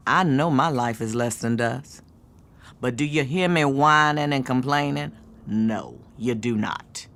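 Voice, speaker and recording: Low-voiced, hard-bitten American aunt. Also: female voice, clean tone. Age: middle-aged. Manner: scolding, forceful, emphatic repetition. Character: hard, jaded, domineering aunt and supervisor. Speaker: actress. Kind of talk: naturalistic audio drama acting.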